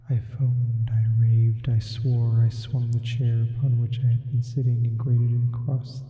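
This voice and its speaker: Slow, whispery demon, played by a man in heavy reverb. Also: mid-range voice, muffled recording. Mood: anguished, hushed. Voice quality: breathy, often whispering. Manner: slow, hushed, deliberate. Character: sleep paralysis demon narrating a madman's confession. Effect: added reverb. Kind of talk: whispered ASMR horror story reading.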